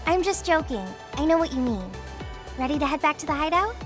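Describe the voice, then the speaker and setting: Young female soldier, high voice, anime game, friendly, casual, gently teasing, music underneath. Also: lively intonation, a little fast. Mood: candid, playful.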